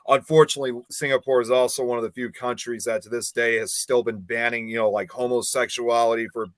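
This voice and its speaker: Brief: male panelist, mid-pitched, halting delivery, dry recording. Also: little pitch movement, no echo. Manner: hesitant, full of fillers. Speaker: panel co-host. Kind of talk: casual panel chat.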